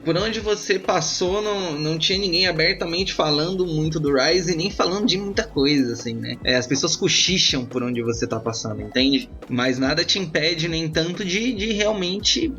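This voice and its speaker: A man acting as game master, improvising roleplay narration in an informal, conversational, halting way. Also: a little high, sharp tone. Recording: slightly muffled, band-limited audio with music. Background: background music.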